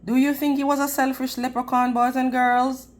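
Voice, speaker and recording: A woman reading a storybook aloud in an expressive, teacherly way, putting questions to young listeners. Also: high-pitched. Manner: deliberate, teacherly, addressing children. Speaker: children's read-aloud storyteller.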